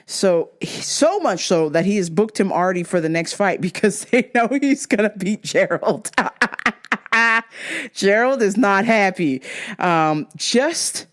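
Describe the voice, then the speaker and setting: Lively, slow-talking woman host. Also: medium pitch. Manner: animated, crude, joking, frequent laughter. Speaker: podcast host. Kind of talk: casual chat making fight picks.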